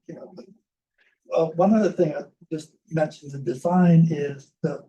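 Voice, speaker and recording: Mellow-voiced man explaining. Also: a little high, steady tone, normal speed, lo-fi sound. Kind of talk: book event talk.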